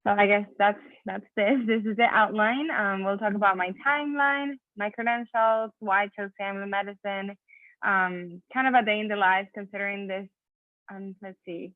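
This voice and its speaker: A woman's voice: medium pitch, fairly even intonation, moderate pace, dry recording. Spanish-speaking woman from venezuela, 30s, chatty slide talk over a video call, thin phone-line sound, dry. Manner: conversational, many fillers and self-corrections. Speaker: physician assistant giving a talk. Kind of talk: online presentation with slides.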